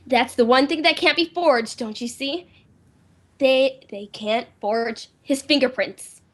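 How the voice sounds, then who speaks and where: Woman playing an anxious, high-voiced radio drama heroine. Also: takes it slowly, a bit bright, heavily acted. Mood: agitated, urgent. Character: anxious, insistent well-to-do woman suspecting an impostor brother. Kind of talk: old time radio drama acting.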